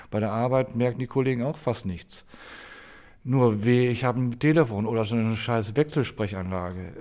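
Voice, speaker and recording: A man speaking spontaneously as an interviewee, colloquial and halting, with pauses and repeated words. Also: medium pitch, a little mellow. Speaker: interviewee from a stutterers' self-help group.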